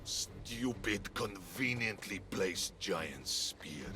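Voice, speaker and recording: An actor playing a defeatist, melodramatic war god turned rooster, full of self-pity, in a video game cutscene. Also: male voice, low voice, expressive, drawn-out words, heavy background noise, full character voice. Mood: sulky, defeated. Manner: gloomy, melodramatic.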